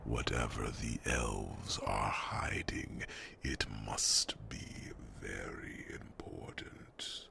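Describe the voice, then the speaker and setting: A man voicing a huge, wise old dragon in a calm ASMR fantasy roleplay, speaking solemnly in a gravelly, breathy half-whisper with pondering hums. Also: very deep voice, full character voice. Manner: slow, solemn, formal phrasing with pondering hums.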